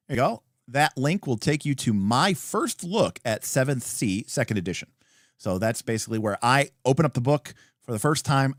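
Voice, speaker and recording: Animated man rambling on a livestream. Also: medium pitch, no echo, clean studio sound. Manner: rambling, thinking aloud. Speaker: livestream host. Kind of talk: casual unscripted livestream chat; reading and answering viewer comments.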